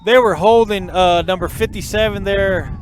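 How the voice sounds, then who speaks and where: Male play-by-play caller, high pitched, folksy and chatty, outdoor stadium. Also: moderate pace. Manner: folksy, joking, addresses the folks. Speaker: play-by-play announcer. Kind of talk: high school football commentary. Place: outdoors at a football game.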